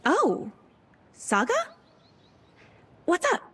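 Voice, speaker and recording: An actress playing a friendly but flustered, evasive care home worker in a video game. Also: female voice, sits high, wildly swooping pitch, extremely slow, a bit bright, heavily acted. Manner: hesitant, evasive, politely chirpy. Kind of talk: cinematic horror video game acting.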